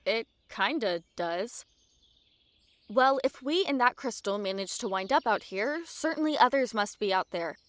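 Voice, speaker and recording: A woman playing a carefree, excitable young horned adventurer in an audio drama, casual and flippant. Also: on the high side, unhurried, no room sound. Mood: curious.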